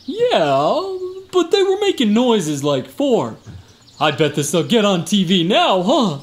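An actor playing a boastful, bumbling security guard in a comic radio play, with an exaggerated manner. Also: male voice, high voice, huge pitch swings, slow pace, full character voice. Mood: flustered exaggeration. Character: boastful, dim, attention-hungry male security guard. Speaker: temple guard witness.

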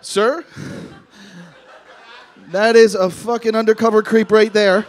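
A male comedian in his thirties works the crowd from a club stage. His voice sits high for a man. He talks into a handheld mic in a brash, teasing, foul-mouthed way. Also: flat delivery, slow pace. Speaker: stand-up comedian. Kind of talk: stand-up crowd work. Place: comedy club stage before a seated audience. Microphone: handheld microphone.

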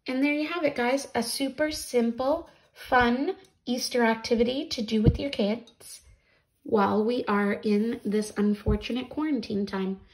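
A woman in her thirties with a fairly high voice. She talks slowly and deliberately, as a children's teacher guiding young viewers. She is recorded indoors at home, a short way from the camera. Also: rough recording. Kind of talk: instructional craft video and read-aloud for children. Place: small home room, indoors.

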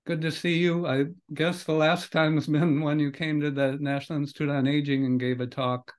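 A man's voice: on the high side, level tone, moderate pace. An older man hosting a podcast over a video call from a home study, speaking conversationally with loose phrasing and hedges. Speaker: podcast host. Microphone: video call webcam.

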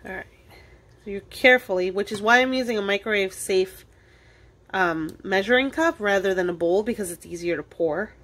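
Lady doing a cooking how-to in her kitchen, chatty explainer, mid-pitched voice, talks while she works. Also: normal speed, fairly bright tone. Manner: casual, explanatory, talking while working with hands. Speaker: cooking demonstrator, host of a library cooking show. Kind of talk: informal step-by-step cooking tutorial. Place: indoors at a kitchen counter.

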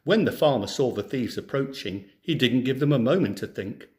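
Animated Englishman reading a children's story. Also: mid-range voice, normal speed. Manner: expressive, theatrical delivery. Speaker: storyteller, teacher.

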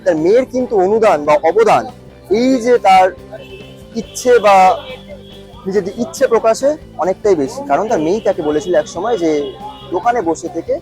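A man's voice: high-pitched, brisk, pauses often, darkish tone, faint music behind. A man giving an on-location news report, explaining with emphatic repetition.

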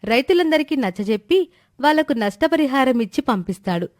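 Woman storyteller narrating a moral tale, highish voice, dry close sound. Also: expressive, a little fast, no echo.

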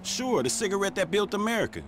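A man's voice: sits high, big pitch swings, quick pace. A man playing a wary, streetwise young criminal for hire in a video game, slangy and grudging. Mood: skeptical. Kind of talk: scripted game dialogue. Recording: loud noise behind the voice.

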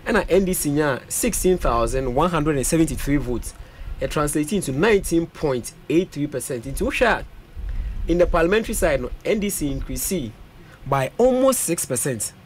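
A man's voice: fairly high pitch, expressive, moderate pace, slight background noise. A young Ghanaian man speaking as a parliamentary aspirant in a radio studio interview, mixing Twi with English and reeling off vote figures at a studio mic. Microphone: studio mic on a boom.

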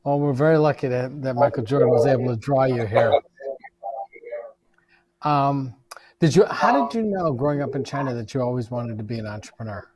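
Middle aged guy hosting a fireside chat on a lapel mic, mid pitch. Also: fairly quick, a loud crowd, low-quality recording. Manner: friendly opening remarks with some restarts. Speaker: host, professor. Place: large empty lecture hall.

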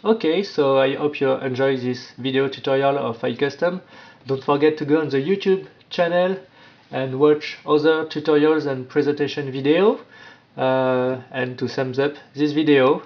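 A man presenting an informal step-by-step app tutorial, with halting, non-native phrasing. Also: medium pitch. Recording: dull, lo-fi sound.

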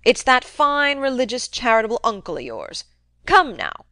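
A woman voicing a cantankerous, domineering old country man who talks in a loud, emphatic, wheedling way. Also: on the high side, sing-song, normal speed, no room sound, studio quality, pitched above the performer's own voice.

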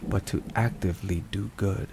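Low voiced guy doing solemn religious asmr narration, clear rain n thunder in the background. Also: fairly even intonation, moderate pace, background noise. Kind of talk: ASMR scripted devotional narration. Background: thunderstorm and rain sounds.

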